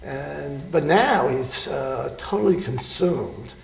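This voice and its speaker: An older man recounts his life in a halting, unhurried way, full of pauses and fillers. His voice is gravelly and a little high. He sounds as if he is in an echoey room. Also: lively intonation. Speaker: surgeon interviewee. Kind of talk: oral history interview.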